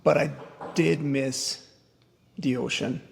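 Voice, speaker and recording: A man from Rio de Janeiro, relaxed as an interview guest, filling pauses with "um". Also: mid-range voice, creaky, big-room sound, slight background noise. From Brazil, Rio de Janeiro. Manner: relaxed, finishes his partner's sentences, many "um"s. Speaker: guest, father. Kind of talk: casual interview conversation.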